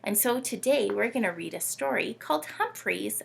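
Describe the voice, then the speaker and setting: A woman hosting storytime for children in an animated, teacherly way, often putting questions to her young listeners. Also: medium pitch, normal speed, creaky, husky. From Canada. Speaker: children's read-aloud host.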